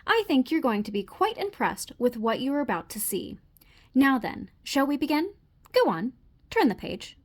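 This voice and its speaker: A woman's voice: high-pitched, big pitch swings, normal speed. A woman voicing a fussy, easily panicked neat-freak male frog in a theatrical, indignant manner for a children's storybook. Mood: proud, chatty. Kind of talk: children's storybook read-aloud.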